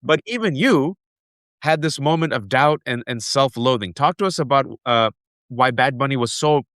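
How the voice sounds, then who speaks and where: A man in his forties from the United States, hosting an interview and telling animated personal stories with lots of asides. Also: medium pitch, moderate pace, no echo, studio quality. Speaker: host. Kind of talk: conversational interview.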